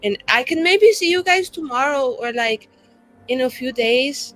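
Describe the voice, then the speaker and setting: Woman as a bright-voiced, boastful tipsy elf writer. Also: high voice, expressive, a little slow, faint music behind, rough recording. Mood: reluctant, apologetic. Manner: rambling, self-dramatising.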